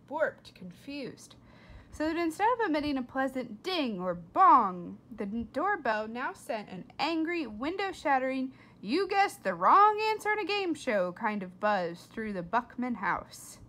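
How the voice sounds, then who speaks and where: A woman reading a storybook aloud to children, expressive, with simple explanatory asides. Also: a little high. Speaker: read-aloud host for children.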